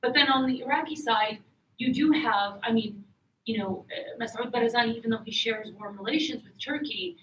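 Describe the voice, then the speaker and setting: A woman's voice: on the high side, normal speed, a bit bright, dull audio. A woman on a policy panel talking in a hesitant way with many fillers. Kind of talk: panel discussion. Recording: echoey room, distant mic, faint noise.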